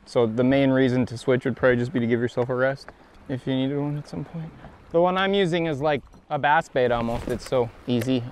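A man's voice: mid-range voice, a little hiss or hum. A young man with a Canadian accent, talking casually as he hosts a fishing vlog outdoors on a boat. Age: young adult. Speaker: host of a fishing show. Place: outdoors on a small boat on a lake.

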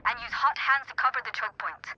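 High-voiced, composed female team leader. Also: brisk, pushed and bright, lo-fi sound, slight background noise. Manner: instructive, firm. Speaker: defense instructor. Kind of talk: tactical game tutorial dialogue.

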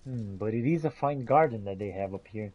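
A man's voice: lowish voice, animated, fairly quick, a little mellow. A man giving gameplay commentary in character, talking theatrically to the game's characters. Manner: theatrical, talks to game characters. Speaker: player roleplaying a game character.

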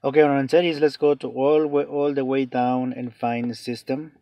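A man explaining a phone reset step by step off camera, halting with many fillers, in non-native English phrasing. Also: mid-range voice, flat delivery, warm tone, dry recording. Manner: hesitant, many fillers and restarts. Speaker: tech tutorial channel host. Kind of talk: off-camera phone tutorial voice-over.

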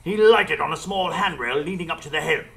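An actor playing an earnest gentleman narrator, telling his adventure in dramatic radio drama style. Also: a little high, brisk, vocal fry. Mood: dramatic, suspenseful. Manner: dramatic storytelling.

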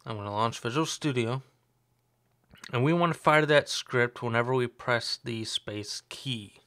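Man, young adult, mid-pitched, slightly sore throat, relaxed step-by-step explaining, desk mic, keyboard clicks behind. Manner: relaxed, explanatory, thinks aloud with fillers. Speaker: tutorial host. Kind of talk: screen-recorded coding tutorial.

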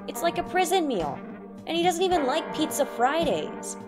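A woman's voice: high-pitched, big pitch swings, moderate pace. A woman voicing a sarcastic, spirited teenage girl in a game dub. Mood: wry. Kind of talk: game fandub acting. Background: guitar music.

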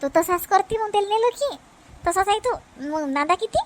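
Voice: extremely high pitch, animated, moderate pace. A performer voicing a chatty village grandmother in a comedy cartoon, with exclamatory rustic Vidarbha Marathi chatter. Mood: insistent.

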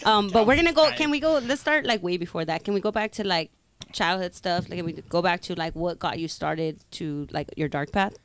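A woman's voice: on the low side, fairly quick, a little hiss or hum. A woman hosting a podcast, asking questions hesitantly and repeating them, in a small studio. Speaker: podcast host. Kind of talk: casual interview.

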